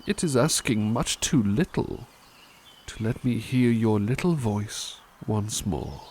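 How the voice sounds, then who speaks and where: A man voicing a doting butler in a soft-spoken ASMR roleplay, talking gently and soothingly with hesitant restarts. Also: lowish voice, expressive, slow pace, slight background noise.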